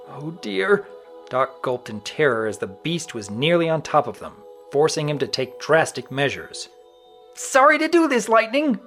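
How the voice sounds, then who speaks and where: Male narrator for dramatic audiobook; very lively intonation, medium pitch, faint music bed. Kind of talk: dramatic scripted story narration.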